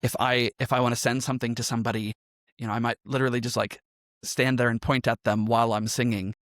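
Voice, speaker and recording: A man's voice: medium pitch, flat delivery, fast, fairly bright tone, no room sound, studio quality. A man speaking as a podcast guest in a conversational interview, explaining things in a thoughtful way. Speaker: podcast guest, musician and role-playing game performer.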